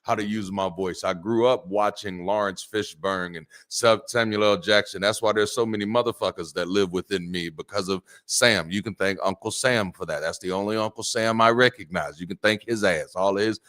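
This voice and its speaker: A middle-aged man with a naturally deep voice, preaching a sermon in a lively, joking way and repeating words for emphasis. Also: moderate pace, hardly any pauses. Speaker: pastor.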